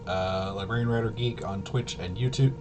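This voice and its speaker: Casting: male streamer, lowish voice, loose rambling delivery that stops and restarts, noticeable background noise. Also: steady tone. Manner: halting, self-interrupting, trailing off. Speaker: livestream host. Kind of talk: casual rambling livestream chat.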